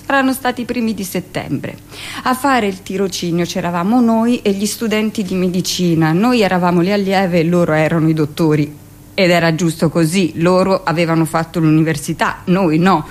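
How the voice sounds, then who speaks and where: A woman playing a middle-aged midwife in a theatrical radio monologue, telling her story in an emphatic, colloquial way, brisk and self-justifying. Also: on the low side, a little mellow, natural voice. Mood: matter-of-fact, proud.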